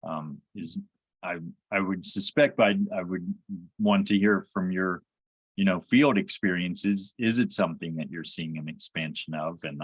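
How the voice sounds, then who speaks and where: A man hosts a conversation in a lowish voice with a close, dry sound. He is halting and often starts his phrases over. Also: a bit bright, husky, dry recording, rough recording. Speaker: podcast host. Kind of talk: conversational interview.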